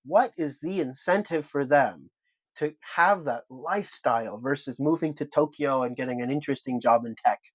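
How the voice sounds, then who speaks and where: A man's voice: medium pitch, normal speed, no echo. A man co-hosting a podcast, laying out his argument point by point with emphasis. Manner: emphatic, builds arguments in lists. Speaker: podcast co-host.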